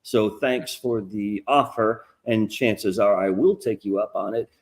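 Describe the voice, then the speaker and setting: A middle-aged man with a nasal voice hosting a casual livestream interview, rambling and swearing freely as he gets animated. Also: fairly low pitch, unhurried. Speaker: podcast host.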